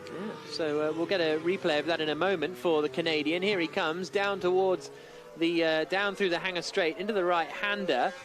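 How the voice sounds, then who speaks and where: High-pitched male motorsport commentator. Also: steady tone, moderate pace, over music. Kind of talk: live race session commentary.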